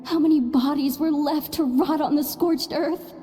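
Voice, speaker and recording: A woman's voice: sits high, fairly even intonation, moderate pace, warm tone, in a large hall, natural voice. A woman voicing a solemn, bitterly accusing figure in an anime-style game. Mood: bitter, reproachful.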